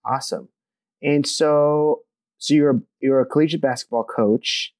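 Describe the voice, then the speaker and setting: A man's voice: fairly high pitch, measured pace, darkish tone, no echo. A man hosting a marketing podcast, welcoming listeners and interviewing a guest in an upbeat manner. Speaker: podcast host.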